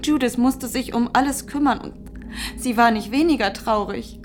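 A woman's voice: a little high, normal speed, a little mellow, a little hiss or hum, lightly acted. An actress in a German radio drama playing an anxious but defiant farm woman, earnest and insistent. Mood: subdued, pleading.

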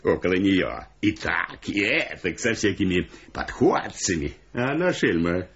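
An actor playing a grumpy, boorish man in a radio play, mocking and emphatic, with a husky voice. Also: sits low, very animated, measured pace, a bit bright, full character voice. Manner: hemming, crude, emphatic. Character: grumpy, boorish husband-like roommate, sleepy and mocking. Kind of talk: theatrical radio play acting. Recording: slightly muffled radio play recording.

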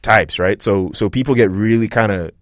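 A man's voice: fairly low pitch, moderate pace, no room sound, clean studio sound. A young man from Montreal hosting a podcast, chatting loosely with many fillers and restarts. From Montreal, Canada. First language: not English; French is his second language. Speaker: podcast host. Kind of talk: casual interview chat.

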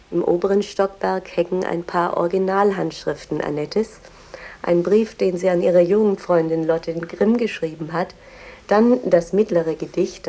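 A cultured museum guide in a radio drama. Her low female voice is mellow and creaky. She explains in a measured, reverent way, devoted to her subject. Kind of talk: explanatory guided tour with verse recitation.

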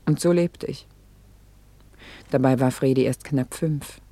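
A middle-aged wife in an intimate radio play, soft, dark and husky in a dry, close recording. She is reflective and a little vain, confiding her self-reproach with hesitant pauses that sink toward a whisper. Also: rumbling low, steady tone, dry recording, exaggerated voice. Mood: wistful, reflective.

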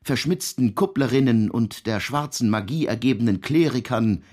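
Radio drama part: male actor playing a learned, long-winded man retelling old legends, husky mid-pitched voice, ornate and pedantic. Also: expressive, moderate pace, a little mellow, natural voice. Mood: wry, scholarly. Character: erudite, wordy man retelling sinful medieval legends. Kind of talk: literary radio drama narration.

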